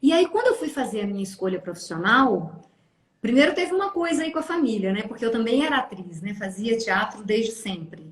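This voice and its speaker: A Brazilian woman in her fifties, a therapist telling her life story in an interview, lively and expressive, often tagging phrases with a questioning aside. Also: a little high, a little fast. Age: 50s. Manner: animated, acts out quoted voices, frequent "né?". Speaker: guest, family constellation therapist. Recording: echoey room.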